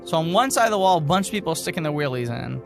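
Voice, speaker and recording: A man's voice: a little high, big pitch swings, very fast, creaky, faint music behind. A man co-hosting a podcast, giving a mock-serious dramatic reading and breaking off into casual, amused asides. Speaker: podcast co-host reading aloud.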